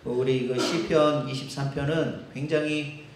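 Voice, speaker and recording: Brief: male Seoul-accented pastor, mid-pitched, relaxed sermon delivery in a reverberant church. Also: background noise. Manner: conversational, with filler pauses. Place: church sanctuary. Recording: echoey church hall.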